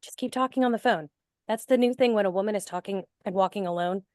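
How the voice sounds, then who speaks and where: A woman plays a young best friend, breezy and blunt. She hands out matter-of-fact advice in a mid-pitched voice, in a horror comedy style script read. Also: fast, darkish tone, close to an everyday voice. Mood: concerned, advising. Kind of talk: actor's table read of horror film script.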